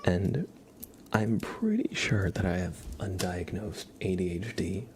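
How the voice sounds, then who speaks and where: A young adult man speaking softly and gently as an ASMR livestreamer, half whispering as he chats casually to viewers. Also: low-pitched, expressive, unhurried, a little hiss or hum. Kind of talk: soft-spoken ASMR, casual chat with viewers.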